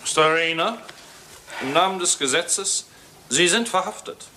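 An actor playing an official, authoritative police inspector in a German radio crime drama. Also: male voice, fairly high pitch, animated, unhurried. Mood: official, satisfied. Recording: noisy old radio play recording.

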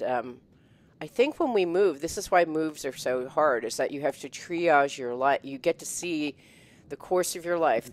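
Animated middle-aged woman on headset. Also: deep voice. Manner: animated, emotional, laughs often, many restarts. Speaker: guest. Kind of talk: casual chat. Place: home room, indoors. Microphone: headset mic on video call.

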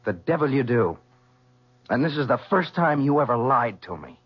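A man's voice: mid-range voice, expressive, moderate pace, heavy background noise, close to an everyday voice. A young man acting in a 1970s radio drama as an earnest, confrontational son who is protective of his mother. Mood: pleading, concerned. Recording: muffled vintage radio recording.

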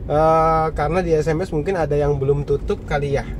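A man's voice: on the high side, steady tone, fairly quick. A man narrating his night drive in a casual, chatty way, talking to viewers from inside a car. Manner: chatty, repeats "ya kan" and "teman-teman". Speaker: car vlogger. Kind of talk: casual narration while driving. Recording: clear car and road noise. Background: road and engine noise.

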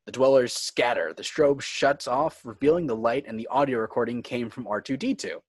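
A man's voice: mid-range voice, normal speed, husky, no room sound. A man working as narrator and host of a fan script reading. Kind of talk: reading screenplay directions, host outro. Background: music.

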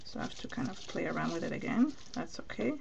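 Gravelly-voiced woman with a Venezuelan accent. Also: lowish voice, expressive, fast, noisy background. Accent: Venezuelan Spanish. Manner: chatty, thinks aloud while working, answers viewer comments. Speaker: craft demonstrator on a live stream. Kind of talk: live crafting demonstration, chatting with viewers.

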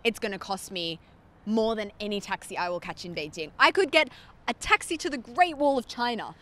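A woman's voice: mid-range voice, fairly quick. A woman with an Australian accent, chatting animatedly as a guest on a casual podcast, saying "like" often. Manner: chatty, animated, heavy use of "like".

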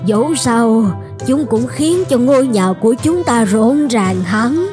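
A woman's voice: fairly high pitch, over music. A woman playing an elderly, motherly peasant wife in a fairy-tale cartoon, speaking expressively. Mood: soothing. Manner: expressive, drawn-out exclamations.

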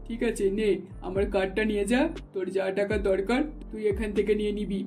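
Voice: mid-range voice, little pitch movement, fast, darkish tone, soft background music, lightly acted. An actor voicing a doting, wealthy mother in a cartoon, talking in a soothing, persuading way. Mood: indulgent. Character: indulgent wealthy mother of an only daughter. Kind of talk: cartoon dubbing.

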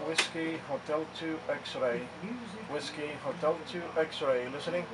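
A man's voice: a little high, steady tone, measured pace. A man operating an amateur radio, calling out call signs in clipped, repeated phrases. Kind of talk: amateur radio satellite contact calls. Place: indoor radio room. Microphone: camera mic away from the speaker. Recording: distant mic, loud noise, clicks.

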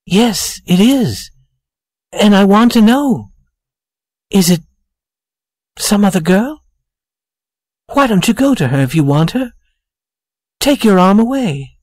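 A woman's voice: mid-range voice, expressive, takes it slowly, pauses often, darkish tone, dry recording, studio quality, voice pushed up. A woman voicing an anxious young woman in a storybook, pleading and earnest with her sweetheart. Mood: insistent.

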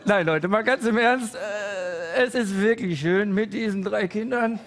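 Man, 40s, very high voice, stand-up comedian, halting, deadpan, many pauses and fillers. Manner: deadpan, growing excited and loud toward the end.

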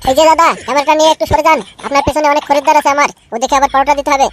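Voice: high voice, steady tone, very fast, slight background noise, natural voice. A performer voicing a broke, sulky, scheming young lad in a comedy cartoon. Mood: exasperated. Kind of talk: Bengali comedy cartoon dubbing.

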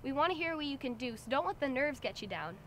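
A teenage girl reads a scripted school announcement outdoors in a bright, upbeat manner. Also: sits high, animated, fast talker, slight background noise. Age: teens. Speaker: student announcer. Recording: faint outdoor ambience.

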